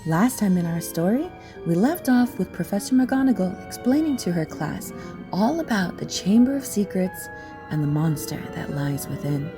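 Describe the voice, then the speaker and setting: A woman's voice: fairly low pitch, sing-song, normal speed, over music. A woman narrator reading a scripted story dramatically for an audio play.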